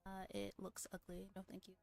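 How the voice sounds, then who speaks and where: A woman's voice: medium pitch, sing-song, brisk, darkish tone, soft background music. A young woman livestreamer chatting casually to her viewers in an informal, rambling, teasing and giggly manner, on a close microphone.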